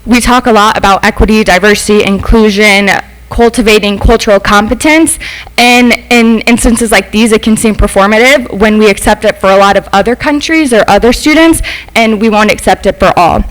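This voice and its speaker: A woman's voice: mid-range voice, brisk, poor audio. A young adult woman, a former student and substitute teacher, making a semi-prepared public comment in a meeting room. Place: school board meeting room.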